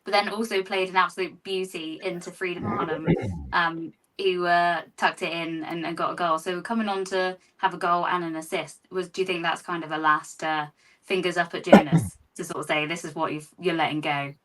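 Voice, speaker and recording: Woman host, low voice, set back from the mic, giggly, easygoing questions. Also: fairly even intonation, fairly quick, distant mic, rough recording. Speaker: show host. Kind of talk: casual football fan discussion.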